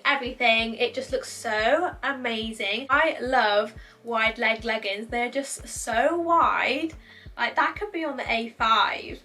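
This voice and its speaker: A young woman with a bright, slightly high voice, gushing about her finds in a chatty, self-mocking way. She sounds a little nasal and bunged up. Faint music plays under her, recorded at a small indoor desk setup. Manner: gushing, chatty, laughs at herself. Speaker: fashion vlogger. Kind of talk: clothing haul chat to camera.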